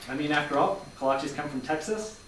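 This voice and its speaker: A man playing a bemused, hesitant accountant, awkward and halting, in a staged play reading. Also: fairly high pitch, lightly acted. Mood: matter-of-fact. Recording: echoey hall from far off, faint noise.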